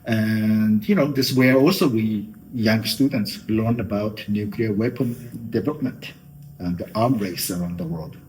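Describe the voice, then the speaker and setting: Soft-voiced middle-aged Japanese man. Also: on the low side, measured pace, slight background noise, low-quality recording. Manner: thoughtful, with many fillers like "you know". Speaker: guest. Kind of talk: interview answers.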